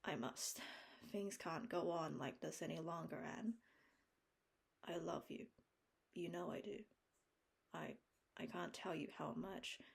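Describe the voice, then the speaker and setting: Woman reader doing a young man, quiet, earnest, low-voiced, gravelly, husky, storybook reading. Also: slow, long pauses, full character voice. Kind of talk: audiobook character voice.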